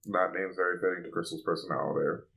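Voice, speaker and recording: A man's voice: low-pitched, steady tone, fast, creaky, fairly bright tone. A man co-hosting a casual pop culture podcast, chatty and opinionated, peppering his talk with "like". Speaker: podcast co-host.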